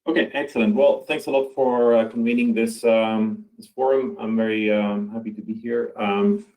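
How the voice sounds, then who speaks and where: A man from Norway giving a conference talk over a video call, speaking haltingly with many fillers and restarts. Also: medium pitch, a little mellow, low-quality recording. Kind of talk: online conference presentation.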